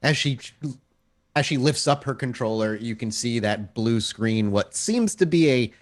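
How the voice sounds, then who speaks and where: A man acting as storyteller, improvising descriptive narration for a tabletop roleplaying game in a halting way. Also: medium pitch, lively intonation.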